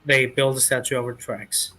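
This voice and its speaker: A man running a tabletop role-playing game as game master, making quick rules calls and describing the scene. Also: mid-range voice, steady tone, sharp tone, soft background music.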